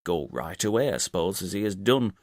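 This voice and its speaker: Storybook pub landlord, acted by a male narrator: blunt hot-tempered man, animated, dry close recording. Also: sits low, fairly quick, dry recording. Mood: bitter, outraged. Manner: gruff, colloquial, indignant.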